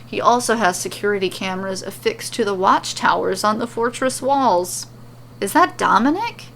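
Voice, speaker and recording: A woman storyteller reading scripted fiction in an expressive narration style. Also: medium pitch, normal speed, creaky, slight background noise.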